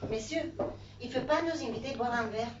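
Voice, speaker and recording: High-voiced Italian woman doing stand-up. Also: fast, heavy background noise. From Italy. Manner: animated, teasing the crowd, mixing in Italian words. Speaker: stand-up comedian. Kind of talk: stand-up comedy set. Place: comedy club. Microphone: handheld stage mic. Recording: roomy, distant, dull club recording with audience.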